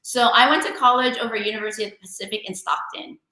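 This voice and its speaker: A woman in her forties talks as an interview guest, chatty and easygoing, with frequent fillers and restarts. Her voice is bright and forward. She sounds like she is on a video call in an echoey room. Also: on the high side, quick pace. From San Francisco Bay Area, California. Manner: chatty and warm-hearted, many fillers and restarts. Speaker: guest entrepreneur and DJ. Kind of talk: casual interview chat.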